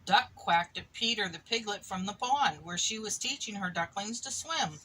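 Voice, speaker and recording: Bright-voiced woman reading a storybook. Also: mid-range voice, a little hiss or hum, poor audio. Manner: expressive, pausing for asides to the child. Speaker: mother reading a picture book aloud. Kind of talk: animated storybook read-aloud to a child.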